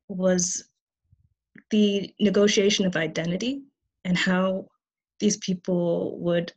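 A young woman in her twenties gives a student presentation over a video call. Her voice sits a little low, and she talks softly and hesitantly with frequent fillers. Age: 20s. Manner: soft-spoken, hesitant with many fillers. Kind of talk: conference presentation.

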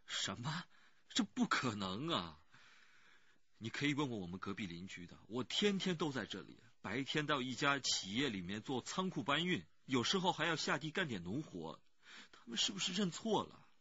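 A man's voice: mid-range voice, very animated, normal speed, pushed and bright, slightly rough, no room sound, muffled recording, full character voice. An actor playing an anxious rural working man who pleads earnestly and hesitantly in a radio crime drama. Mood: protesting.

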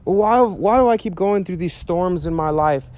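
A man in his thirties preaching outdoors to a camera, earnest and animated, talking casually with fillers. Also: high voice, normal speed. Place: outdoors by a chain-link fence on a sunny day. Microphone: handheld mic, medium camera shot.

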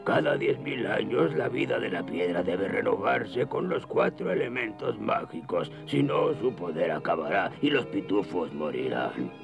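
A man playing a very old, kindly, weary gnome grandfather in a cartoon, gravelly and fretful. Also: on the low side, expressive, a little slow, few pauses, over music, exaggerated voice. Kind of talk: cartoon character acting.

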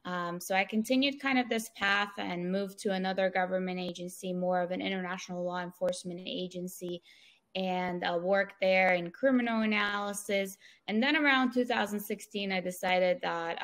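A woman, answering interview questions in a measured way with many fillers, over a video call. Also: on the low side, steady tone. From Ukraine, raised in Israel. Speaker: podcast guest. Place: small room at home. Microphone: wired earphone mic on video call.

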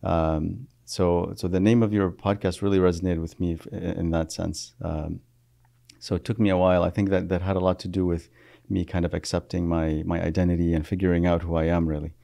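A low, gravelly man in his thirties, a therapist guest in a podcast studio, with a dark, mellow tone. He talks hesitantly, pausing on fillers and restarting his sentences. The sound is close and dry on a boom mic. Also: flat delivery, dry recording. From Iraq. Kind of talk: reflective podcast interview. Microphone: studio mic on boom arm.

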